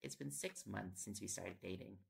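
A very creaky, fry-heavy young male voice acted by a man plays a fond, teasing boyfriend in an audio roleplay. He chats and coaxes playfully in an intimate bedtime style. Heavy noise and clicking run behind him. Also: on the low side, moderate pace. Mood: chatty, fond. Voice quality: gravelly, creaky. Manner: playful coaxing, chatty. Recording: loud background noise with clicks. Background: ticking and clicking sounds.

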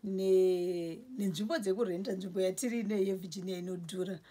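A woman's voice: mid-range voice, steady tone, mellow, husky. A woman in her forties with a Zimbabwean accent, a store owner talking casually about her grocery shop. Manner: lists goods slowly while showing shelves. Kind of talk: casual interview in Shona. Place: small indoor grocery store.

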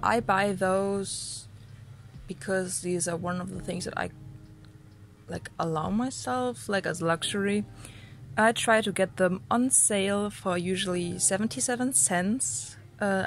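A young woman vlogging casually from a small bedroom, hesitant and chatty with many fillers, sounding a little sick. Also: mid-range voice. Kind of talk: casual talk to camera and food voice-over.

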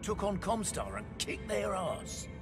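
An actor playing a cocky, loyal, high-spirited mercenary crewman in a video game, brash and slangy. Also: big pitch swings, unhurried, music in the background. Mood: proud, cheeky. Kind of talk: video game cutscene acting.

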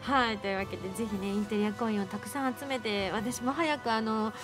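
A woman's voice: medium pitch, fairly even intonation, quick pace, over music. A woman hosting a radio show, moving between light chat and program announcements.

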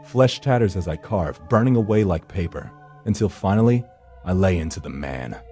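A man's voice: low-pitched, lively intonation, moderate pace, dark tone, soft background music. An actor playing a grim, world-weary supernatural rescuer, narrating his hunt in a brooding, solemn, dramatic way. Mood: grim, intense. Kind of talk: dramatic scripted first-person narration.

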